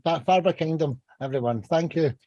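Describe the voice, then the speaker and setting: A man's voice: fairly high pitch, brisk, warm tone, no echo, dull audio. A man hosting a podcast, introducing guests in an upbeat, friendly way. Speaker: podcast host.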